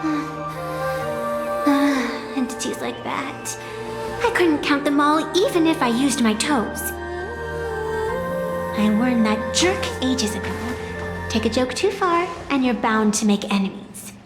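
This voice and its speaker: An ancient female tree spirit in a video game, high-voiced and proud. She speaks theatrically, with wry, playful asides amid formal lines. Her voice rings with hall reverb over clear music. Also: lively intonation, slow, long pauses, heavily acted. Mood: wry, exasperated.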